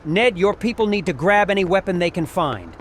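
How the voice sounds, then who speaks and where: A man's voice: high voice, lively intonation, a little fast, fairly bright tone, a little hiss or hum. A man voicing a terse, authoritative army sergeant in an audio comic drama. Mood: urgent, barking. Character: gruff, commanding army sergeant leading survivors. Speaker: voice actor.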